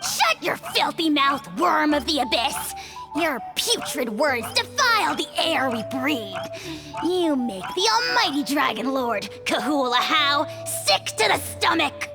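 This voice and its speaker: A woman's voice: high-pitched, sing-song, a little slow, music in the background, heavily acted. A woman voicing a pompous, foul-mouthed little dragon lord in a video game, haughty and blustering with grand insults. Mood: haughty outrage. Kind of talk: theatrical video game character acting.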